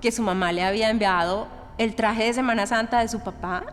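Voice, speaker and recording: An actress playing a protective, concerned young witness in an audio drama, talking in an earnest, conversational way. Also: female voice, a little high, steady tone, brisk, a little hiss or hum, lightly acted. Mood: earnest recalling.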